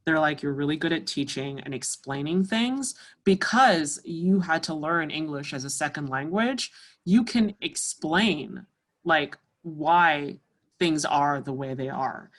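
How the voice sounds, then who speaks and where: A woman's voice: low-pitched, expressive, a little slow. A woman from Canada, a musician guest, talking casually in an interview with many fillers.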